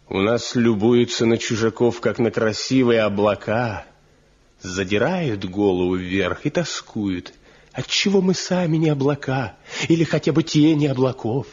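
A man's voice: medium pitch, a little slow, natural voice. Actor as proud, bitter young craftsman, muffled recording. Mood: bitter, impassioned. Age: young adult. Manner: rhetorical, insistent, sardonic. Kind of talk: Soviet radio drama acting. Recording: dull 1970 radio play recording.

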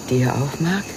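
A low-voiced intense, clinging widow in a radio thriller. She speaks slowly and dramatically, swinging widely between breathy whisper and outcry. Insects sound clearly behind her. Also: very noisy, exaggerated voice. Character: Janet, intense, clinging widowed lover, wounded and pleading. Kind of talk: German radio crime drama acting, 1989.

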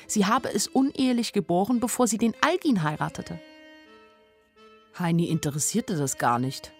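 Junge, naiv-beobachtende Erzählerin von einer Schauspielerin gesprochen. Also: female voice, mid-range voice, moderate pace, natural voice. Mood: wry. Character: naive, observant young village woman telling her story. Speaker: narrator and main character of a radio play. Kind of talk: first-person radio play narration in a literary adaptation. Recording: studio radio play with music underneath. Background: music.

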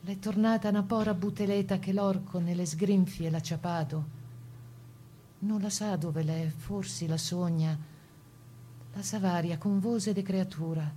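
A woman playing a sorrowful village storyteller, reciting dramatic verse in a slow, measured way with a Veneto accent. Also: fairly low pitch, fairly even intonation, dark tone, a little hiss or hum, lightly acted. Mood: sorrowful, hushed. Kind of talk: dramatic dialect poetry recitation.

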